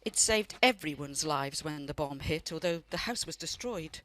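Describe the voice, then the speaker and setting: A woman's voice: deep voice, lively intonation, normal speed, a bit bright. An actress in a radio play playing a loving, wistful elderly grandmother who tells family memories in a reflective way. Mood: fond. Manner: reflective storytelling.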